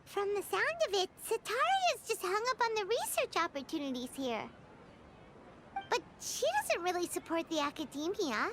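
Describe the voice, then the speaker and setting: A woman voicing a tiny, childlike fairy companion in a video game, squeaky, chatty and cheeky, with an exclamatory delivery. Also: big pitch swings, moderate pace, very noisy. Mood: opinionated. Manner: speaks of self in third person, exclamatory.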